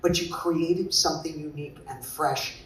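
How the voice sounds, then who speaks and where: A man designer on a panel, telling a story in an animated way and acting out what people said. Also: a little high, normal speed, echoey room, distant mic, poor audio.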